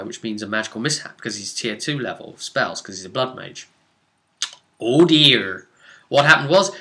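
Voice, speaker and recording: A man speaking as a game master, casually recounting a tabletop role-playing session in an animated, rambling way, stressing points and then trailing off. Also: medium pitch.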